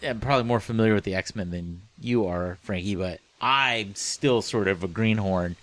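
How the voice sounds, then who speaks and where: A man's voice: lowish voice, moderate pace, fairly bright tone. A man co-hosting a casual book club podcast, speaking informally with a joking, crude sense of humour. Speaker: podcast co-host.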